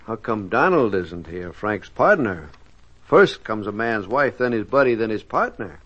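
A glib sly buddy. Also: male voice, fairly low pitch, sing-song, measured pace, a bit bright, husky, exaggerated voice. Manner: wordy, flowery, sly. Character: glib smooth-talking male buddy, mocking. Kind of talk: 1950s radio drama acting. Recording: dull old radio recording.

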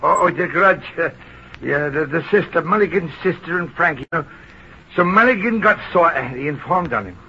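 An actor playing a blustering, guilty, hard-drinking Irishman who stammers and shouts, with an Irish accent, in old film drama style. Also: on the high side, animated, normal speed. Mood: drunken, rambling. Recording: dull, noisy old film soundtrack.

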